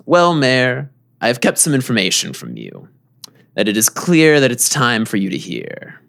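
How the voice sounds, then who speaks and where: A secretive power plant boss, acted by a man in a radio play, with a creaky, gravelly voice. He is polite, formal and guarded, and his pitch moves a lot over a medium base. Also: wildly swooping pitch, unhurried, fairly bright tone, exaggerated voice. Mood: grave, hesitant. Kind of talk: audio drama acting.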